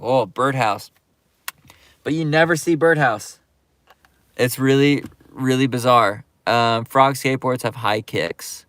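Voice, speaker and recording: A laid-back man hosts a skateboarding livestream, reading viewer comments aloud and riffing on them with plenty of ums and likes. His voice sits at an ordinary middle pitch. The sound is dry and close, with no room echo. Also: fairly bright tone, clear, resonant tone. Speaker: YouTube skate channel host reading live chat. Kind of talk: casual livestream chat.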